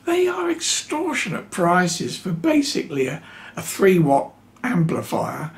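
Man, seventies, unhurried and crackly-voiced hobbyist explainer, dry and wry, unscripted. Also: a little high, vocal fry. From New Zealand (lives there). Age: 70s. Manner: wry, dryly sarcastic, halting. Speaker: electronics hobbyist video host. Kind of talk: unscripted explanatory talk to camera. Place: small home electronics workroom, indoors.

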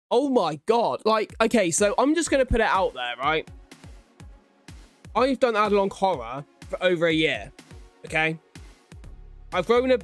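A man's voice: a little high, fairly bright tone. A young adult man giving casual, slangy, exclamatory reaction commentary into a close desktop microphone in a small room. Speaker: reaction video host. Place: small indoor room at home. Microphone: desktop condenser microphone, close up.

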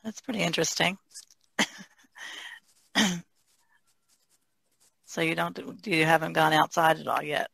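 A woman's voice: deep voice, takes it slowly, gravelly, pauses often, fairly bright tone, slightly rough, dry recording. A woman asking questions in a casual, unscripted podcast conversation.

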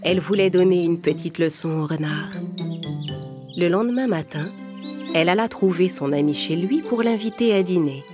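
A woman narrating a children's fable in a calm, clear storytelling manner. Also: lowish voice, normal speed. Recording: music playing under narration.

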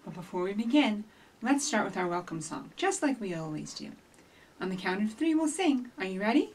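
A woman's voice: mid-range voice, big pitch swings, a little fast, darkish tone, slightly rough. A middle-aged woman presenting a storytime for young children, addressing them directly and encouragingly as she talks and reads aloud. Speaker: children's librarian, storytime host. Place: small indoor office with shelves. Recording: distant microphone in a room.

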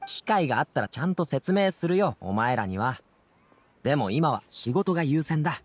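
A friendly young working lad in an anime game. His voice sits fairly high and moves around a lot in pitch, with casual, boyish, chatty phrasing. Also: animated, normal speed, husky. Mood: uneasy, reluctant.

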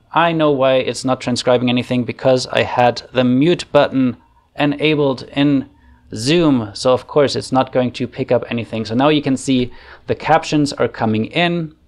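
Gravelly German-accented man, casual tutorial. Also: mid-range voice. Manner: relaxed, chatty, thinking aloud with fillers. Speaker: online facilitation trainer. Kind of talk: casual screen-share tutorial. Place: home room. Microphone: desk microphone on arm.

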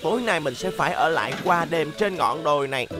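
A performer voicing a secretive, excitable vehicle in a children's cartoon dub, muttering expressively to itself. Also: mid-range voice, brisk, music in the background, lightly acted. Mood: wistful, pleased.

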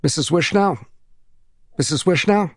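A man's voice: on the high side, a little slow, no echo, voice pushed up. An anxious schoolboy, as a male narrator plays him, speaks in a raised, plaintive voice. He sounds flustered and earnest, in a very dry audiobook recording. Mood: flustered, pleading. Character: anxious young schoolboy stuck behind a locked door.

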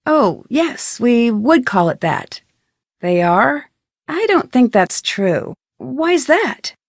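A woman's voice: fairly high pitch, sing-song, slow, no echo, studio quality, heavily acted. A young American woman voicing an inquisitive, polite and determined amateur detective in an adventure video game, with an earnest, questioning delivery. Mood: probing, determined. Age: young adult. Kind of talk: scripted adventure game voice acting.

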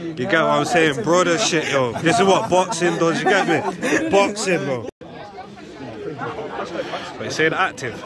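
A young man with a Manchester accent, vlogging and shouting encouragement beside an outdoor boxing ring. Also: on the high side, measured pace, pauses often. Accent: Mancunian. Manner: excited shouting and hyped encouragement. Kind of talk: ringside vlog chat and corner coaching. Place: outdoor garden boxing ring with big crowd. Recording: loud crowd, music, outdoors. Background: loud cheering crowd and music.

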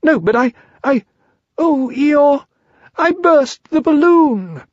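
A man voicing a tiny, anxious young male piglet in a storybook reading, with a high, fluttery, stammering voice. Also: lively intonation, slow pace, dry recording, full character voice. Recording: dry, slightly dull recording.

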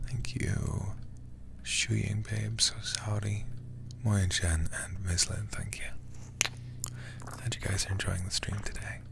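A man streaming a game as ASMR, reading aloud and chatting softly in an intimate, soothing, breathy way, close to the microphone. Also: on the low side, steady tone, takes it slowly, pauses often. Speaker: livestreamer playing horror games.

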